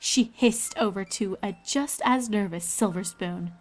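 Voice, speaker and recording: A woman's voice: mid-range voice, measured pace, soft background music. A woman storyteller reading scripted fiction aloud, with ambient noise behind her.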